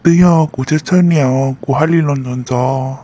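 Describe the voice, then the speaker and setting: A man voicing a male character in an animated family drama, in a dull lo-fi recording. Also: medium pitch, moderate pace, a little hiss or hum, lightly acted. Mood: conversational. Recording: dull lo-fi sound. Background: music.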